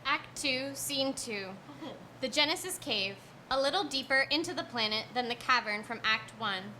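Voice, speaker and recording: A woman's voice: sits high, animated, moderate pace, pushed and bright, rough recording. A woman plainly announcing stage directions at a live script reading. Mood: matter-of-fact. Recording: live stage, faint ambient noise.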